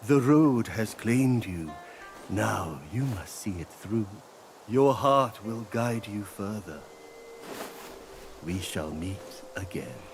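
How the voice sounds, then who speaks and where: Slow, low-voiced solemn mystic sage. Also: male voice. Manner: poetic, measured, solemn. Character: solemn, sage-like mystic guide speaking in riddles and verse. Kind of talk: game cutscene voice acting.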